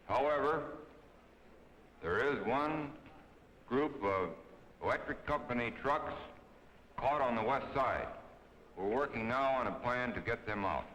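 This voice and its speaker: A man's voice: medium pitch, a bit bright. A man with an American accent gives a formal status report as a city engineer. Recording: reverberant old film sound, faint noise.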